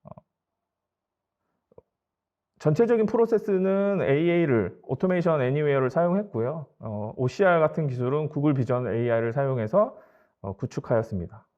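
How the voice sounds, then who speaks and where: A man with a slightly high voice delivers a formal webinar presentation. He explains things politely and matter-of-factly, like a company presenter walking through slides. The audio is somewhat dull and lo-fi. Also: animated, brisk, a little mellow.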